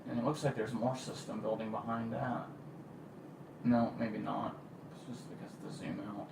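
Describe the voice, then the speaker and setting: A man's voice: fairly low pitch, steady tone, normal speed, gravelly, noisy background. A man hosting an amateur livestream in a casual, informal way, thinking aloud as he goes. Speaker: amateur storm-watch livestream host. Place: a room at home.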